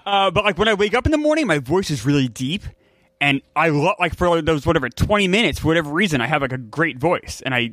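A man's voice: fairly high pitch, very animated, fast, a bit bright, no echo. A man co-hosting a casual podcast, mocking his own voice. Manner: self-deprecating. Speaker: podcast co-host. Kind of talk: casual group chat.

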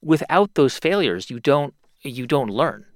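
A man's voice: mid-range voice, expressive, unhurried, dry recording. A friendly male host takes a caller on his advice show, recorded dry and close. He often doubles his words mid-thought before landing his point. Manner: friendly, repeats words while thinking.

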